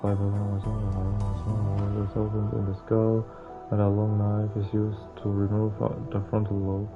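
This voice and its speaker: A man reading visual novel text aloud as a playthrough host, stumbling over words now and then in a halting way. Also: on the low side, completely flat delivery. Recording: muffled lo-fi mic, music behind. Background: game music.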